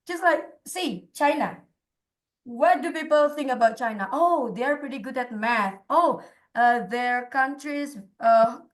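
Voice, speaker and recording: A woman with an Indonesian accent giving her opinion in an online discussion, haltingly, searching for words and repeating phrases. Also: sits high. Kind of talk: informal spoken opinion in an online group. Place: online call.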